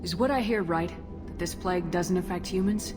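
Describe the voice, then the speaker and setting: A woman's voice: on the low side, fairly quick, lightly acted. An actress playing a businesslike, determined female soldier in a video game, asking direct, probing questions. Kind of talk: video game dialogue acting. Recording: long reverb, music behind the voice.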